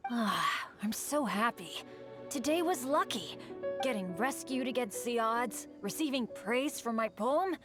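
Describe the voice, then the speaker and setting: A woman's voice: on the high side, animated, unhurried, over music. A woman voicing a cheerful, eager teenage boy adventurer in a video game. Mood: elated.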